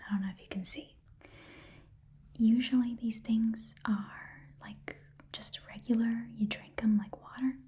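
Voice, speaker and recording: A woman voicing an easygoing goblin pawn shopkeeper in a soft, breathy whispered ASMR roleplay, haggling in a casual, rambling way. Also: on the high side, fairly even intonation, measured pace, close to an everyday voice. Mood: casual, helpful.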